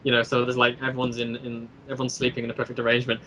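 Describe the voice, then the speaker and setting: A man in a casual podcast chat, explaining his own creative work in a rambling, halting way and repeating phrases as he goes. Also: mid-range voice, completely flat delivery, pushed and bright, a little hiss or hum, poor audio. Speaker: guest, creator of an audio drama series.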